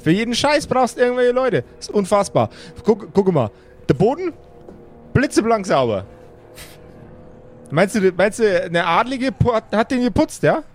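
A man's voice: sits high, big pitch swings, moderate pace, soft background music. A man voicing a brash, grumbling necromancer boss in an improvised tabletop audio play, slangy and coarse, rambling with stammered repetitions. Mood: indignant.

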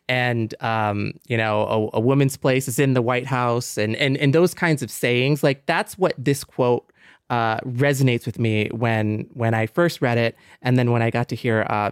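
Guy hosting a podcast, smooth clear voice, dry sound, earnest n casual, kinda thinking out loud. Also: mid-range voice, dry recording. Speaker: podcast host. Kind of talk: casual reflective podcast discussion.

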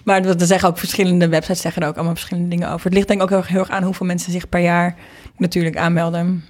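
A woman's voice: fairly low pitch, flat delivery, very fast, a little mellow. A woman from the Netherlands, being interviewed and explaining things informally, thinking aloud as she goes. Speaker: interviewed singer and producer. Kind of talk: casual interview conversation.

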